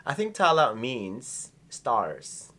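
A man's voice: medium pitch, huge pitch swings, drawn-out words, crowd noise. A young man from the Philippines whose first language is Bisaya, hosting a casual reaction chat and exclaiming often. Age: young adult. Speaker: YouTube reaction host. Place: bright indoor room.

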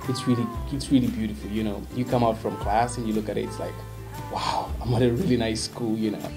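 A man's voice: lowish voice, fairly quick. A young man from Zambia, a college freshman, talking casually and chattily in an interview. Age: young adult. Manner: relaxed, chatty, lots of "like" and "you know". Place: indoor room. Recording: background music under voice.

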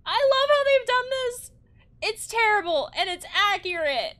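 Animated high-voiced woman reacting to a game. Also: measured pace. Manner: expressive, animated, exclaiming. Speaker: counselor playing and commenting on a game. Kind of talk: reaction commentary on a video game. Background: game music.

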